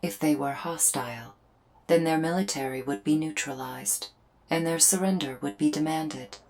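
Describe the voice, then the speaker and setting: A woman voicing a solemn female alien invader in a scripted ASMR roleplay, speaking in measured, formal, deliberate phrases with pauses. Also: deep voice, a little mellow, a little hiss or hum, natural voice. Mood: grave, measured. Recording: music behind the voice. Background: music.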